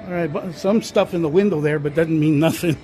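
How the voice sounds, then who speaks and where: A man's voice: a little high, fairly quick, warm tone. A man narrating a casual walking tour of an indoor shopping mall, rambling with many fillers and restarts, recorded on a handheld phone. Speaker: vlogger touring a mall. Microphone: handheld phone camera, speaker off screen.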